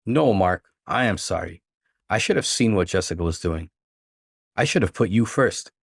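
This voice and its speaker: A loyal, indignant young wife from a narrated story, read by a man in his low register. The delivery is earnest and emotional, with lively, emphatic swings in pitch. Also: moderate pace, creaky, slightly rough, no room sound, clean studio sound, full character voice. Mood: earnest, pleading.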